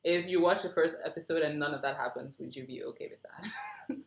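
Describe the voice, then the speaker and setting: Woman in an echoey room, lower-pitched voice. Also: fairly quick, creaky, slight background noise. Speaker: writer leading a feedback discussion. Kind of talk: casual group feedback discussion.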